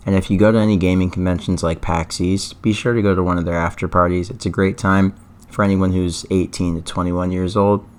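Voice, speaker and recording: A man with a hoarse, worn-out voice hosts his own esports news vlog. He sits low in pitch and talks to camera in a loose, casual way, eager and keen on doubling up words for emphasis. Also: little pitch movement, fairly quick. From Atlanta, US. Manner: enthusiastic, repeats words for emphasis.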